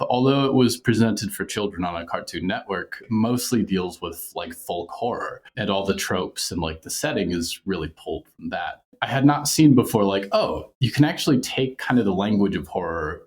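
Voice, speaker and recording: A man with a low-pitched voice talks as a guest in an interview. He is reflective and articulate, picking through his ideas and softening his points with qualifiers as he goes. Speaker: podcast creator and writer, interview guest.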